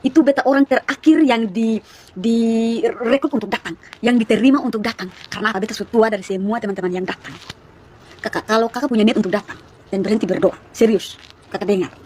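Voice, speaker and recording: A woman of about thirty from East Nusa Tenggara, speaking with its regional Malay accent, chatting to viewers on a phone livestream and telling her story in rapid, chatty bursts full of asides. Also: fairly high pitch, a little mellow, slight background noise. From East Nusa Tenggara, Indonesia. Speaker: live streamer. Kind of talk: casual live-stream testimony to viewers.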